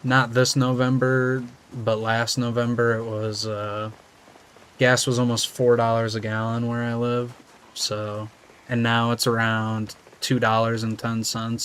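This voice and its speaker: A young American man, just out of high school, chatting casually and rambling with viewers while he plays. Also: fairly bright tone, slight background noise. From United States. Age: young adult. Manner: laid-back, rambling, slangy. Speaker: streamer. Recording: music playing behind.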